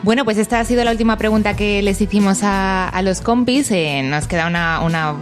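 A woman's voice: medium pitch, fairly quick, music in the background. A woman hosting a radio show in a conversational, informal way.

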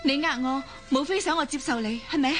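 Woman doing an old hong kong lady in a radio play, high pitched and insistent, loyal to a lost love, music under it. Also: a little fast, natural voice. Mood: hurt, dismissive. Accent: Hong Kong Cantonese. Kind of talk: Cantonese radio drama acting.

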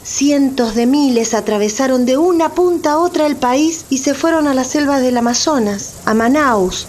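Documentary-style radio narrator: woman, scripted read, fairly high voice, faint background noise. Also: a little mellow.

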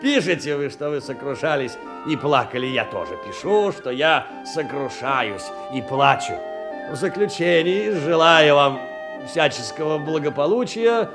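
An actor playing a poor middle-aged clerk, abject, tender and effusive, with old-fashioned courtly phrasing in an audio drama of letters. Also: male voice, on the high side, expressive, measured pace. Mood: rambling self-consolation. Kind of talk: theatrical reading of letters in a stage radio play. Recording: music behind the voice.

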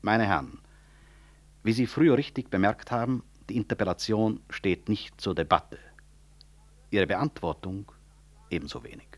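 An actor in a 1960s German radio play, playing a proud, defiant professor and director who speaks in formal, ironic rhetoric. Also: male voice, fairly low pitch, moderate pace, long pauses, lightly acted. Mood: formal, controlled.

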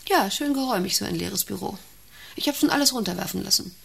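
A woman's voice: mid-range voice, lively intonation, fast, vocal fry, a bit bright, husky, heavily acted. An actress in a German radio play playing an imperious, obsessive female factory boss with a haughty, commanding, brooding manner. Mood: brooding, confiding.

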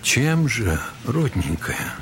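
An actor playing a gentle, wise old monastery elder in a Russian audio drama, speaking in a measured, consoling way. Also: male voice, mid-range voice, very animated, slight background noise. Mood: tender, consoling. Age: older.

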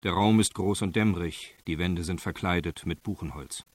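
An actor playing a sober, matter-of-fact crime narrator in a documentary-style radio play. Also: male voice, low voice, normal speed, husky, no room sound. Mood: sober, factual. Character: sober, factual male crime-story narrator.

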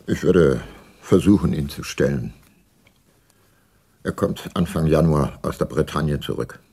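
An actor playing a brooding, resolute older detective in a radio drama, speaking gravely and deliberately. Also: male voice, very deep voice, mellow, no room sound, exaggerated voice. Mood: subdued. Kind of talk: radio drama dialogue and first-person narration.

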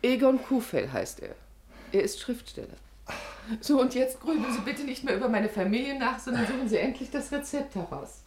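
An actress playing a helpful, gently firm village woman in a 1950s radio play, composed and solicitous in her speech. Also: a little high, expressive, normal speed. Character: helpful, brisk, caring village woman, trained in nursing. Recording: roomy 1950s radio recording, faint hiss.

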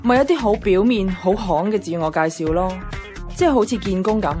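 A woman's voice: medium pitch, brisk, darkish tone, faint music behind, natural voice. An actress playing a single young office woman narrating her woes in a radio drama, wry and confiding, with a Hong Kong Cantonese accent. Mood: wry, hopeful. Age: young adult.

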